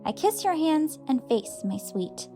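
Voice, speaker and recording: A woman's voice: high voice, very animated, measured pace, warm tone, faint music behind. A woman playing an adoring schoolgirl who reads a flowery love letter in a gushing, dramatic audiobook style. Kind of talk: audiobook character reading.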